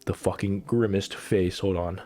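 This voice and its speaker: A man with a lowish voice talks through a casual, rambling commentary, cursing freely and stumbling through restarts and fillers. He is coming down with a cold and coughs now and then. Soft music plays faintly behind him. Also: fairly even intonation, a little slow. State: getting sick, coughing. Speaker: gaming content creator ranking characters.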